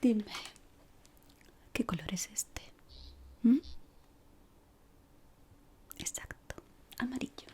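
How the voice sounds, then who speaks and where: A woman doing an ASMR roleplay in a breathy whisper, playing a polite but exacting decorator of an eerie office maze. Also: fairly high pitch, expressive. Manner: slow, deliberate, pausing, softly spoken.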